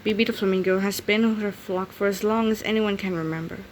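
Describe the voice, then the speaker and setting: A woman reading a children's picture book aloud in an expressive storytelling manner. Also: mid-range voice, fast talker, a little hiss or hum. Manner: expressive storytelling with acted character voices. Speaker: storybook reader.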